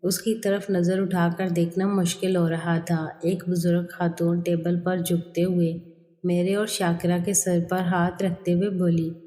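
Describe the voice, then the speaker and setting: Mellow woman narrator in heavy reverb. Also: lowish voice, level tone, unhurried. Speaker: story narrator. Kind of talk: scripted first-person story narration in a male character's voice. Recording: long hall reverb.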